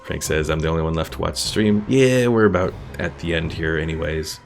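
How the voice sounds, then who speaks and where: Guy with a deep voice doing chill game stream commentary, some music behind him. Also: fairly quick, creaky. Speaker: livestreamer, host of a gaming stream. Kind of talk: casual strategy game commentary, chatting with viewers.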